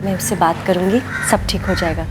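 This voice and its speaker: A woman's voice: on the low side, fairly even intonation, fairly quick, noisy background, lightly acted. An actress playing a caring, reassuring mother of a grown son in a TV crime drama. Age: middle-aged or older. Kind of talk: Hindi TV crime serial acting. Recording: music in the background.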